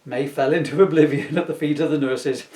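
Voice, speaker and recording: Middle aged guy doing a jokey story reading, highish voice, roomy echo, giggly. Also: steady tone, brisk, darkish tone. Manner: giggly, jokey, self-interrupting. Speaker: author reading own comedy book aloud. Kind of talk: comic storybook reading with chatty asides. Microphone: camera at some distance. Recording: roomy echo, distant microphone.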